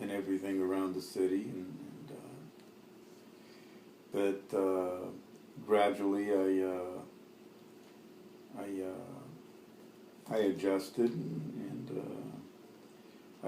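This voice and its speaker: A Lebanese-born man in his fifties, Arabic his first language, answering an oral history interview in a halting way with many fillers and restarts. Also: low voice, mellow, roomy sound, a little hiss or hum.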